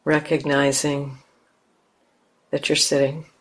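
Slow, low-key woman guiding meditation. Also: little pitch movement. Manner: gentle, unhurried, simple instructions. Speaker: meditation teacher. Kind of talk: guided meditation, slow and spoken with long pauses.

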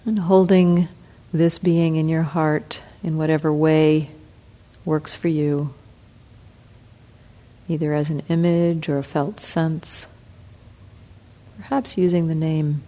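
A woman teacher leading a guided meditation, speaking softly and calmly with long pauses. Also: low voice. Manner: slow, soft, calm, with long pauses.

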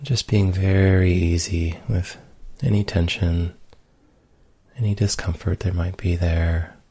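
A man leading a guided meditation in a gentle, unhurried manner with long pauses. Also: sits low, fairly even intonation, slightly rough, no echo. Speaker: meditation teacher.

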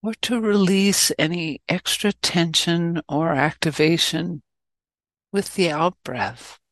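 Female meditation guide, gentle and very unhurried, slightly low register, dry close sound. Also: no room sound. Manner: gentle, measured, with long pauses. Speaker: meditation teacher. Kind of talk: guided loving-kindness meditation.

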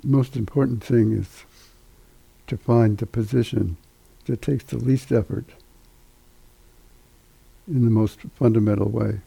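Meditation teacher, older male, very dark mellow tone, husky, halting delivery with long pauses. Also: lowish voice, fairly even intonation, dry recording, low-quality recording. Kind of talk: guided meditation instruction.

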